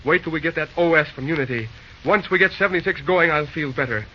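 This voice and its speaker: An actor playing a dazed but dogged young train dispatcher in an old-time radio drama, halting and dutiful, with groans. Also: male voice, fairly high pitch, natural voice. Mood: weary, pained. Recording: noisy, dull old radio recording.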